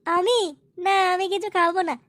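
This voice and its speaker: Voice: very high-pitched, fairly even intonation, normal speed, pushed and bright, dry recording. A performer voicing a meek, dotty woman singer in an adult comedy cartoon, coy and hesitant.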